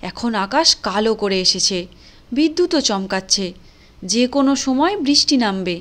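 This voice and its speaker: A woman's voice: a little high, lively intonation, moderate pace, fairly bright tone. A woman voicing a kindly, talkative, exasperated housewife in a cartoon, nagging yet protective. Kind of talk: cartoon voice acting.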